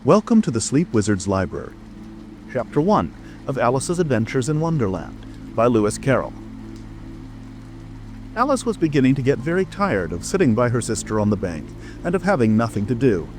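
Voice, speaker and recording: Guy narrating a storybook, really varied expressive delivery, music playing under him. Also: medium pitch, moderate pace.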